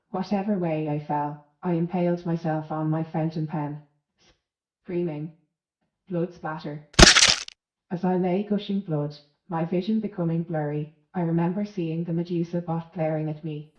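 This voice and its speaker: Aggrieved middle manager played by a woman, very low voice, self-important melodrama, echoey radio play monologue. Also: steady tone, normal speed, natural voice. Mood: aggrieved, dramatic. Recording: echoey, dull muffled sound.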